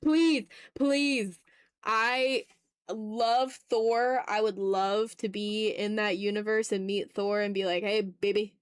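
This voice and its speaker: A woman's voice: mid-range voice, steady tone, slow pace, clear, resonant tone, no room sound. A woman hosting a casual art livestream, chatting in a playful, slangy, exclamatory way while she draws, close to the mic.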